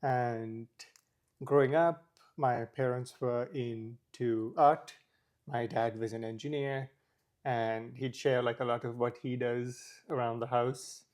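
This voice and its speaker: A man's voice: medium pitch, fairly even intonation, slow pace, a little mellow. A young man from Sri Lanka, a guest talking casually in an interview, with many fillers.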